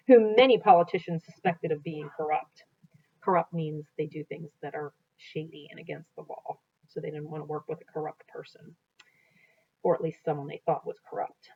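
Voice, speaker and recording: An American woman reads a history book aloud as a teacher, in an explanatory, teacherly way. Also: on the low side. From United States. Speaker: teacher reading aloud to students. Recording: dull, lo-fi sound.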